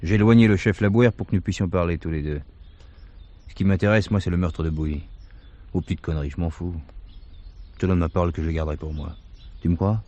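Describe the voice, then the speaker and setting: An actor playing a blunt but patient male police chief in a French radio drama, brisk and questioning. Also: low voice, steady tone, dark tone, lo-fi sound, close to an everyday voice. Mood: firm, persuasive.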